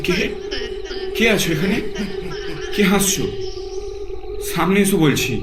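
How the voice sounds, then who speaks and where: A man's voice: sits high, animated, slow. A man playing a stubborn, fearless young man in a horror cartoon, speaking in a firm, serious, challenging way. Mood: demanding. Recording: music behind the voice.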